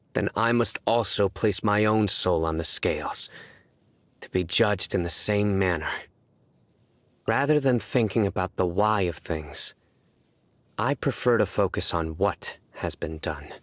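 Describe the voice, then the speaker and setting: Game role: stern young male lawkeeper, played by a man, low register, grave, reserved, deadpan delivery. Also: no room sound, natural voice. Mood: solemn, grave. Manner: measured, reserved, formal, deadpan. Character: stern, solemn young male judge-like enforcer of scholarly law. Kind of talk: scripted video game character voice lines. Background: background music.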